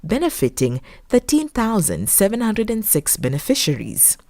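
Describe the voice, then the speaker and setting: A woman's voice: mid-range voice, wildly swooping pitch, normal speed, mellow, no room sound. A woman reading scripted documentary narration as a voice-over.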